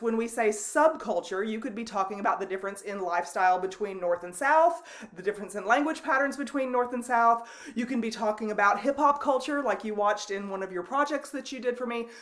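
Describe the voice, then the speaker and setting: A woman lecturing to her students on video with a loud voice. She talks in an easy, conversational way, stopping and restarting her sentences with plenty of ums. Her voice sits at an ordinary middle pitch. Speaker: college instructor. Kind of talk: recorded online lecture.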